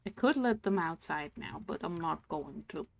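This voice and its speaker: A German woman with a mixed English accent, streaming a game and thinking aloud in casual, low-energy chat. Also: animated, vocal fry, slight background noise. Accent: mixed English accent, no clear origin. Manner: slow, thinking aloud while playing, low-energy murmurs.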